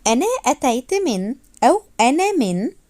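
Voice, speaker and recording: High, lively woman teaching. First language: Arabic. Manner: repeats phrases slowly, then translates briskly. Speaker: language teacher. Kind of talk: slow phrase drilling for learners.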